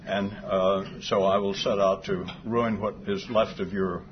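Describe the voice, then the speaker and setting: An older man from Texas giving an after-lunch talk, soft-voiced, with dry humor and many pauses. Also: lowish voice, level tone. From Fort Worth, Texas. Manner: dry humor, hesitant with fillers. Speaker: guest speaker. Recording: dull lo-fi sound with clear background noise.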